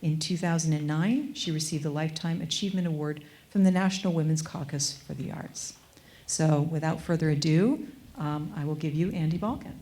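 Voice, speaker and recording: A woman curator reading formal introductions of panelists at a museum event, measured and composed with an occasional filler. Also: low voice, warm tone. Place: museum event space, before an audience.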